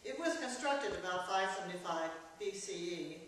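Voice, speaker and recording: A woman author giving a prepared, formal introduction to a staged reading in a measured way. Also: on the low side, animated, a bit bright. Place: church performance space. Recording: very echoey church, distant, faint noise.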